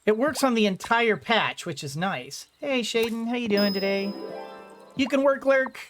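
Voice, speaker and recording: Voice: medium pitch, expressive, normal speed, faint music behind. A game streamer giving casual commentary, rambling and thinking aloud while playing.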